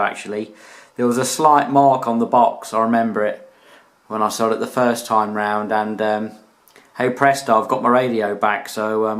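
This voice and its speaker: British guy doing a casual hobby review, average pitch, chatty and slangy. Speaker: radio hobbyist reviewing his collection. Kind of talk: casual video product review.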